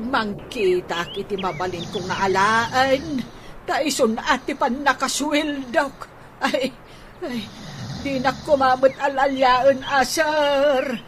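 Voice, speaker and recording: Woman playing slow-talking, grumbling Ilocano housemaid. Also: high voice. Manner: halting, peppered with sighing interjections. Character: aggrieved, nagging older housemaid owed her wages. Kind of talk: Ilocano radio comedy drama acting. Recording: noisy background with ambient bird sounds.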